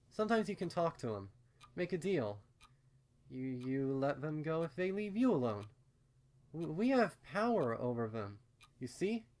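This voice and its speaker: A man acts out a young cartoon pony mare full of uncanny secrets. The voice is pitched up from his usual talk and takes its time. She stammers and rambles earnestly, nervous and hesitant, as in a storybook reading. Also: darkish tone. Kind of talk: acted fan fiction reading.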